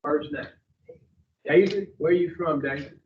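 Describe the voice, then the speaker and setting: A man's voice: a little high, a little slow, a little hiss or hum. A man, bluntly questioning new clients at an addiction treatment intake. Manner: blunt, confrontational, drawling. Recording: far-off phone-like audio in an echoey room.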